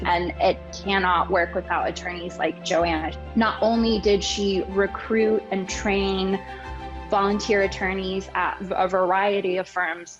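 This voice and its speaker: A woman speaks in a flat, matter-of-fact tone in an interview. Her voice is on the low side, and clear music plays underneath. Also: poor audio. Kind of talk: documentary interview.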